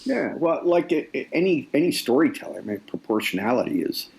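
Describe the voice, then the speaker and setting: A man speaking as an author guest in a conversational interview, explaining deliberately and repeating phrases for weight. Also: mid-range voice, big pitch swings, gravelly, a little mellow, a little hiss or hum, poor audio. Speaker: nonfiction author, podcast guest.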